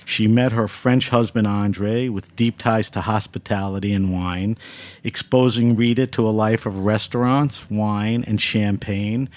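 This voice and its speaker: A man hosting a wine interview show, brisk and friendly as he prompts his guest. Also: on the low side, darkish tone. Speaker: wine podcast host. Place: radio studio.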